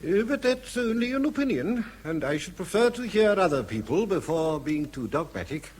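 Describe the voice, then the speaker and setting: An actor playing a wily old professor in a radio drama, hesitant and repeating himself with feigned vagueness. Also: male voice, high-pitched, very animated, moderate pace, a little mellow, husky, exaggerated voice. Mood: measured, cagey. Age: older. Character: wily old professor, hesitant, slyly needling, senior scientist.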